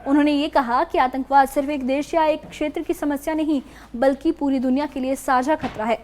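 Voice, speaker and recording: A woman's voice: sits high, steady tone, brisk, a little mellow. A woman reading a scripted news report as a reporter. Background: background music bed.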